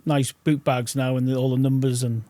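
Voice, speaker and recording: A man's voice: medium pitch, flat delivery, normal speed, dark tone, dry recording. Kit man chatting in a kit room, bit hesitant, laughs a lot, dry mic. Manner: hesitant, chuckling, short replies. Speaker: kit man for a football club. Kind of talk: casual podcast chat.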